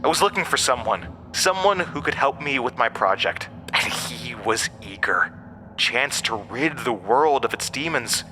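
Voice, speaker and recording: A piercingly sharp, forceful voice rings through a long, cavernous reverb. It is an ancient inhuman outcast, bitter and manipulative, telling its tale with taunting theatrical emphasis, as in a horror audio drama. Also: male voice, mid-range voice, animated, measured pace, faint music behind. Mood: persuasive, menacing.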